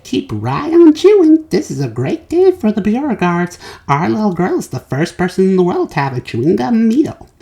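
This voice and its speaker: A woman voicing a blustering middle-aged father in a storybook, loud and commanding. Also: medium pitch, wildly swooping pitch, moderate pace, voice pushed up. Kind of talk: storybook read-aloud.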